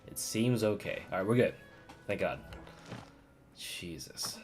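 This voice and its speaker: A man with a very low voice talks his way through a casual game stream. His pace is unhurried and his pitch moves around expressively. He thinks aloud, half to himself, as a streamer does. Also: animated, faint music behind. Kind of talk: casual live-stream commentary while gaming.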